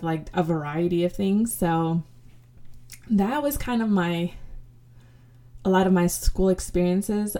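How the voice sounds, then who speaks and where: A woman's voice: on the low side, dark tone. A young woman, a podcast host whose first language is Spanish, talking through her childhood in a reflective way, with lots of ums and restarts. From United States. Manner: reflective, many fillers and restarts. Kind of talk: casual personal storytelling.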